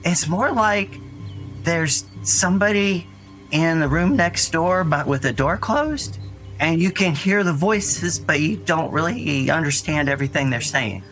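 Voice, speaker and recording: An actor playing an earnest, anxious young boy who tells his story in a rambling, halting way in an audio drama. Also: fairly high pitch, lively intonation, fairly bright tone. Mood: puzzled, earnest. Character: Dougie, frightened earnest young boy recounting his brother's disappearance. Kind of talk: naturalistic audio drama acting. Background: music under the voice.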